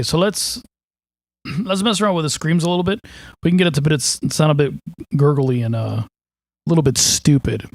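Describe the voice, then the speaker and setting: A man's voice: a little high, gravelly, no room sound. A man casually talking through a song mix as he works, thinking aloud in a loose, offhand way with lots of fillers. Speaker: mixing engineer. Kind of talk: casual walkthrough of mixing a song.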